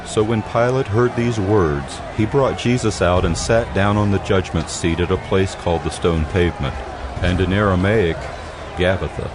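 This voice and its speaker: A man's voice: low voice, normal speed, a crowd in the background, background noise. A man narrating scripture from a script in a dramatized audio production.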